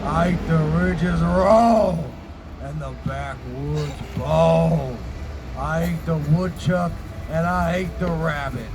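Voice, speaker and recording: Man playing a slow, gravelly bully bear. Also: a crowd in the background. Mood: menacing boasting. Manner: slow, roaring, boastful. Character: huge bullying, boastful, dim-witted male grizzly bear. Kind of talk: live storytelling puppet show. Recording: noisy outdoor live recording, traffic rumble.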